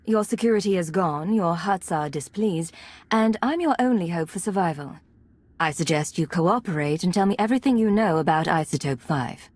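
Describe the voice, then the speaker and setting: Female Imperial agent: slightly low, controlled, commanding game dialogue, unflappable. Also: lively intonation, moderate pace, a little mellow, dry recording. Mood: coldly commanding. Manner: controlled, authoritative. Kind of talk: video game dialogue acting.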